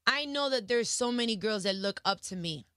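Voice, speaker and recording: A woman's voice: fairly high pitch, animated, moderate pace, sharp tone, no room sound, clean studio sound. A young woman from a Dominican family, a guest in an interview, talking passionately and emphatically and dropping in Spanish phrases. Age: young adult. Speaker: guest, singer and entertainer. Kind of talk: casual interview talk.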